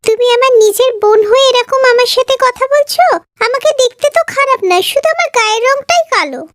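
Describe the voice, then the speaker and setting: Kind young cartoon heroine, voiced by a woman: very high, meek, humble. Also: animated, fairly quick, a bit bright, dry recording, studio quality. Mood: hurt. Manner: meek, wounded. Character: kind, hurt, humble young sister, rejected for dark skin.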